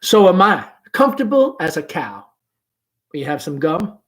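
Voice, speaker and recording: Man acting a breezy, gallant young gentleman. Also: a little high, sing-song, moderate pace, pitched above the performer's own voice. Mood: confident, easygoing. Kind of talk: play read-aloud.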